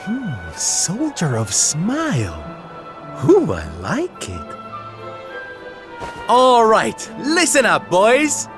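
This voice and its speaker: A flamboyant male entertainer for a video game, high and swooping. He draws out his words with grand, sing-song showmanship. Game music plays loudly behind him. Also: wildly swooping pitch, drawn-out words, long pauses, exaggerated voice. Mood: exuberant. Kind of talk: video game cutscene voice acting.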